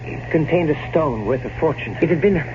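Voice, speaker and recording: An actor playing an old, loyal, foreboding family servant in a radio horror drama, formal, solemn and pleading. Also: male voice, a little high, lively intonation, a little fast, music in the background, lightly acted. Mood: reluctant, grave. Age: older. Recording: noisy, muffled old radio drama recording.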